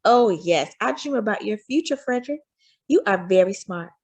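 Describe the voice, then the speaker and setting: Woman as a gentle, expressive, husky-voiced storybook mother. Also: mid-range voice, moderate pace. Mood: proud, tender. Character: loving, hopeful enslaved mother comforting her young son. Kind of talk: storybook character voice.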